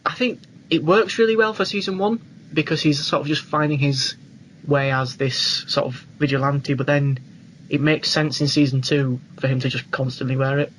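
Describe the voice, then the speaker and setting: A man's voice: fairly high pitch, moderate pace, fairly bright tone, rough recording. A man co-hosting a podcast, giving his opinions in casual conversation. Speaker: podcast co-host. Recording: clear background noise.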